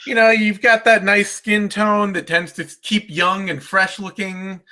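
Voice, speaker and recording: Bright, sharp-voiced male host, higher pitched. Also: flat delivery, measured pace. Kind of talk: casual sports chat.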